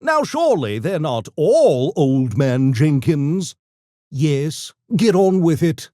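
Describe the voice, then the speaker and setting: An actor voicing a smug, erudite genius gremlin for a video game, with pompous, mock-genteel, theatrical diction that tips into gleeful mania. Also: male voice, fairly high pitch, sing-song, slow, mellow, dry recording, studio quality. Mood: smug, conversational. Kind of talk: video game character voice lines.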